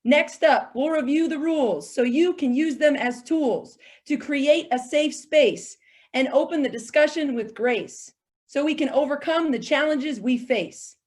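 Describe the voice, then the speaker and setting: A woman's voice: sits high, unhurried, a little mellow. A woman on a video call who chats cheerfully, laughs often and recites a short rhymed spoken-word piece. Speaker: panelist and spoken-word performer. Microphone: home computer mic on a video call.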